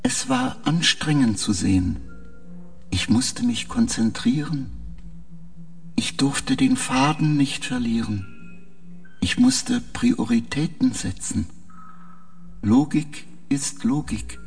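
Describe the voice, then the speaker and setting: An actor playing a troubled, literal-minded male spaceship intelligence in a radio drama, speaking in terse, halting phrases. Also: mid-range voice, huge pitch swings, vocal fry, faint music behind, full character voice. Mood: strained, halting.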